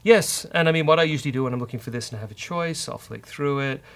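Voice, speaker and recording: Man, Irish accent, mid-pitched, creaky, dry and teasing, halting casual tech host. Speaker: host, software developer. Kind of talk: casual live-coding demo with banter.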